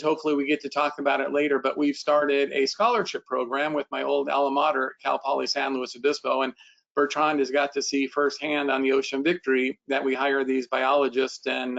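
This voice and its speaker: A man's voice: mid-range voice, vocal fry, fairly bright tone, highs cut off. A man who founded a river cruise company, speaking earnestly and with self-deprecation on a webinar panel. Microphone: video call.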